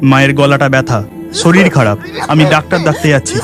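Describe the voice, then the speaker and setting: A man's voice: medium pitch, fairly quick, over loud music, lightly acted. A man voicing a mild young village husband and dutiful son in a cartoon. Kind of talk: cartoon voice acting.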